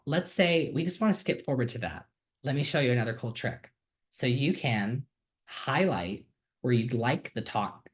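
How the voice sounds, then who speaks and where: Man, student, casual screen-share demo, enthusiastic, filler-heavy, computer mic. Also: mid-range voice. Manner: friendly and enthusiastic, with frequent ums and uhs. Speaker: student giving a software demo.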